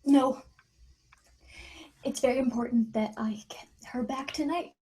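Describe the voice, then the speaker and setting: Woman acting a high, breathy, desperate mother. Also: dark tone. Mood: nervous, evasive. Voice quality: breathy, husky. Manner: anxious, halting. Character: frightened, desperate mother searching for her kidnapped daughter. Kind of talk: screenplay table read.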